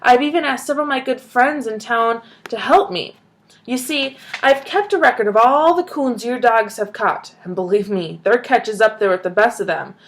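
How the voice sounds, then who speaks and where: A woman voicing an excitable, kindly old grandfather who bursts into loud, eager shouts. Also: a little high, normal speed, slight background noise, lightly acted. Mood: coaxing.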